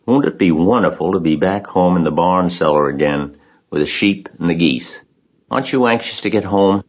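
A man's voice: sits low, moderate pace, lo-fi sound. A man voicing an emotional young male pig in a storybook, urgent, pleading and exclamatory. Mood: eager. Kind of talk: storybook character voice.

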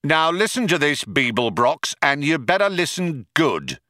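Storybook cop played by a male reader: high, forceful bellow, indignant and self-justifying, trigger-happy but convinced of his own sensitivity. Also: sing-song, unhurried, no room sound, clean studio sound, heavily acted. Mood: menacing, self-important.

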